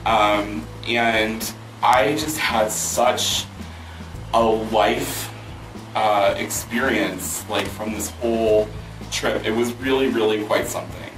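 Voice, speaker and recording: A man hosting a vlog, answering viewer questions in casual chat, hoarse with a worn-out voice and rambling with many fillers and restarts. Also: on the low side, steady tone, slow pace. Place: outdoors near a pool with loud music. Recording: roomy, mic at a distance, loud music behind.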